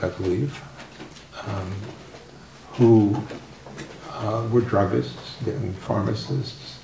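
An older man speaking softly as a guest on a discussion panel, halting with many fillers and restarts. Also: lowish voice, vocal fry. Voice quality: soft-spoken. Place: formal room with audience. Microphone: table microphone. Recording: roomy, distant mic, faint noise.

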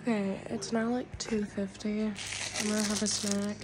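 A woman's voice: medium pitch, level tone, normal speed, heavy background noise. Congested young woman vlogging. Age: 20s. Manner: whispering softly. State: congested, scratchy throat from a cold. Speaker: vlogger, college student. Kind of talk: casual vlog chat to camera. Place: small room at home, indoors. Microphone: phone camera held close.

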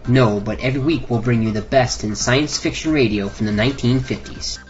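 A man reads a scripted promo for a podcast. His voice is average in pitch, over faint music. The recording is a little muffled. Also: a little fast. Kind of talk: scripted advertisement.

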